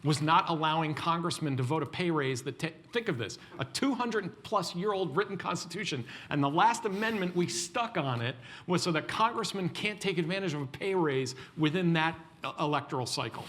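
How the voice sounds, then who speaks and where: Man, panelist, from the US, emphatic, restarts, slightly high voice. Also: animated, fairly quick, few pauses, a bit bright. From United States. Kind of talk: panel discussion answer.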